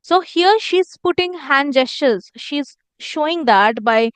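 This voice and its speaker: A woman's voice: sits high, lively intonation, slow pace, a bit bright, no echo. A woman giving an instructional lesson as a communication teacher, explaining emphatically and repeating phrases for stress. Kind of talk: instructional lesson with demonstrated lines.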